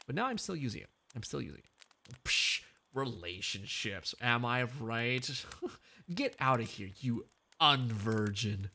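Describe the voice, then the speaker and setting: Chatty gravelly male streamer. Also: medium pitch, sharp tone. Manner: joking, reads chat aloud, frequent laughs. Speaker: VTuber streamer. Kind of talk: casual chat between karaoke songs.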